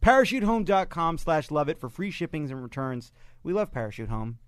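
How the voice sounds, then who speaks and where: Playful man, higher voice, dry studio. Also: animated, a little fast, no room sound. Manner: joking, playful. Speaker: podcast host reading an ad. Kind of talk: loose ad-libbed sponsor read.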